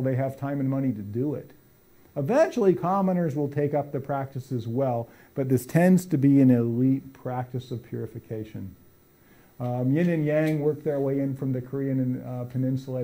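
Mellow-voiced man lecturing casually. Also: mid-range voice. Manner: conversational, many fillers and restarts; gestures broadly while talking. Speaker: college lecturer. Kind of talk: public lecture with slides. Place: lecture room with brick walls.

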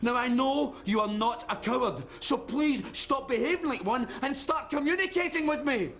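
A man's voice: medium pitch, expressive, normal speed, darkish tone, soft background music, lightly acted. An actor playing a stern, grieving middle-aged British father in a naturalistic drama, scolding and pleading. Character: stern, grieving, exasperated widowed father of teenagers. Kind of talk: naturalistic screen drama acting.

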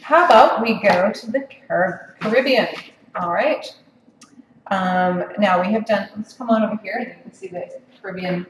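A woman's voice: mid-range voice, normal speed, a little hiss or hum. Woman presenter, casual cooking demo, chatty with frequent fillers; echoey room, mic at a distance. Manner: chatty, many ums and restarts. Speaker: cooking demonstrator. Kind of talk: live cooking demonstration. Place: home office kitchen.

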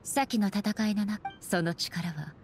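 A woman's voice: mid-range voice, slow pace, a little hiss or hum. An actress playing a stern, unfeeling female puppet warlord in a Japanese game, speaking formally, coldly and in declamatory phrases. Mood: solemn, resolute. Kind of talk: Japanese anime game acting. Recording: clear game music behind the voice.

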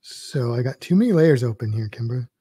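A man's voice: mid-range voice, lively intonation, brisk, dark tone, breathy edge, no room sound, studio quality. A man teaching drawing on a live stream, rambling loosely and thinking aloud while he sketches.

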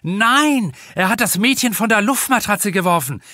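A man's voice: high-pitched, lively intonation, moderate pace, pushed and bright, no room sound, studio quality, voice pushed up. Urgent young male lifeguard, voiced by a man. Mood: alarmed. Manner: urgent, blunt. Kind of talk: audiobook character dialogue.

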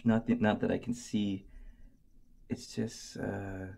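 Goofy, gravelly-voiced man reviewing watch straps. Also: fairly low pitch, takes it slowly. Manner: goofy, excitable, talks to himself, drops into whispers. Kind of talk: casual unboxing review. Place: indoors at a desk.

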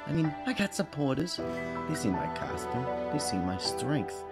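A man's voice: mid-range voice, big pitch swings, moderate pace, loud music behind the voice. A man voicing a boastful teenage boy who plays superhero in a comic dub cartoon, pompous and bragging. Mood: defiant.

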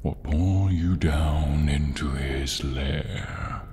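A man playing a tall horned demon in an ASMR roleplay, breathy and gravelly, menacing and theatrical with a comic edge. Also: extremely low pitch, extremely slow, a little mellow, slight background noise, exaggerated voice. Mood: hushed menace. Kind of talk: ASMR roleplay, slow dramatic delivery.

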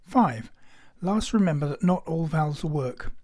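Mellow, higher-pitched man narrating. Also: lively intonation, a little slow. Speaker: presenter. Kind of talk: scripted technical narration. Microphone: voice-over.

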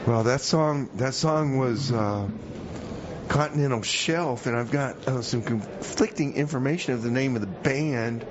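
A man's voice: mid-range voice, lightly acted. A man playing an easygoing, hapless radio DJ broadcasting from jail, hesitant and rambling, in comedy radio theater. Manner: hesitant, rambling, slacker drawn-out talk. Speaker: radio DJ host. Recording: dull lo-fi radio sound, noisy background.

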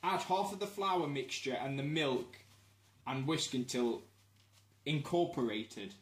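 A man's voice: mid-range voice, expressive, a little slow, echoey room. A young man with a Midlands English accent, cracking jokes while baking at home. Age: young adult. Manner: wisecracking, mock-announcer asides. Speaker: cooking vlog co-host. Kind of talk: casual jokey cooking chat. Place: small home kitchen. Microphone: camera mic on the counter. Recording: noisy home video.

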